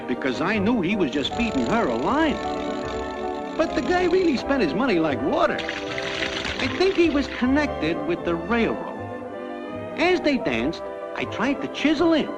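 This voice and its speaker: A man's voice: high-pitched, heavily acted. An American actor playing a brash young newcomer to the afterlife, breezily telling his life story in slang. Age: young adult. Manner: breezy, wisecracking, packed with idioms. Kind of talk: classic cartoon comic narration. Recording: dull lo-fi cartoon soundtrack, loud music.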